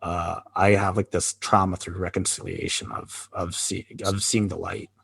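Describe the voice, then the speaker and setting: A man co-hosting a podcast, talking candidly in a halting, reflective way with many pauses and restarts. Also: sits low, flat delivery, vocal fry, a bit bright, slightly rough, no room sound. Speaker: podcast co-host. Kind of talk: candid conversational chat.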